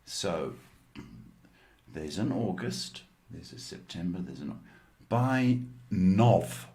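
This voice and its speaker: Slow, tired-sounding man reading tarot. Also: sits low, lively intonation, creaky, warm tone. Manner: slow, drawn-out, playful with pet names. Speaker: psychic tarot reader hosting a livestream. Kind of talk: casual livestream reading.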